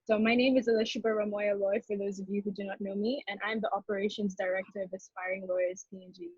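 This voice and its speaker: Fast-talking young woman, husky voice. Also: mid-range voice, level tone, a little mellow, dry recording. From Papua New Guinea. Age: young adult. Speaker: law student, operations director. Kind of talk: self-introduction in a webinar. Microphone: video call. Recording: dull lo-fi call audio.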